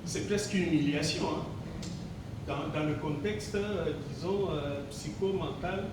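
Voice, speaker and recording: A man's voice: fairly high pitch, a little slow. A man in his sixties from Congo, a teacher giving a reflective gala speech, hesitant and full of fillers and repeated words. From Congo (DRC). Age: 60s. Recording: very echoey hall, distant mic, background noise.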